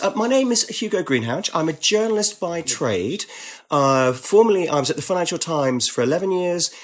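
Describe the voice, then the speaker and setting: A man's voice: fairly high pitch, a bit bright. A middle-aged English man from Devon, chatting as a podcast guest with dry humour and frequent laughs. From Devon, southwest England. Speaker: podcast guest, journalist and author. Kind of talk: lively conversational interview.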